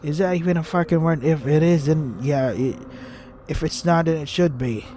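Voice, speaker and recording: A man's voice: on the high side, moderate pace, dark tone, slight background noise. A man reading a visual novel aloud as a gaming host, crude and irritated, stumbling over his words as he rants.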